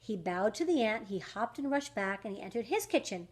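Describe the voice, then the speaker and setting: A woman reading a rhyming picture book aloud to young children, with dramatic emphasis, drawn-out words and questions to her listeners. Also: medium pitch, expressive. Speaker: children's story reader.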